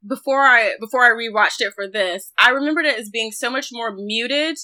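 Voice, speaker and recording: A woman with a fairly high, very bright voice talks films as a podcast co-host. She is chatty and gushing, with a giggly streak running through her casual delivery. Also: moderate pace, poor audio. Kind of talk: casual film discussion.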